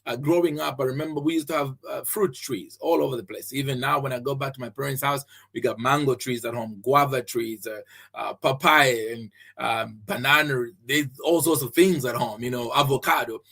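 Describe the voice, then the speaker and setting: A husky man from Zambia who hosts a faith-based podcast, teaching in an earnest way. His pitch sits in a middle range for a man. He repeats his main points and often restarts sentences with fillers. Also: steady tone, brisk, bright, forceful tone. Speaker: podcast host and preacher.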